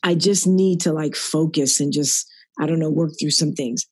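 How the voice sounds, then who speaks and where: A middle-aged woman with a deep, raspy voice, a podcast guest speaking earnestly about her faith and growing up. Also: normal speed, a little mellow, no room sound, studio quality. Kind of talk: reflective podcast interview. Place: at work.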